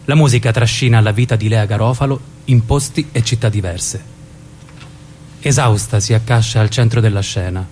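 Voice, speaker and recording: A man narrates scripted stage directions. His voice is slightly low, and there is faint rumbling noise on the recording. The reading sets out each scene. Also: fairly even intonation, moderate pace, a little mellow.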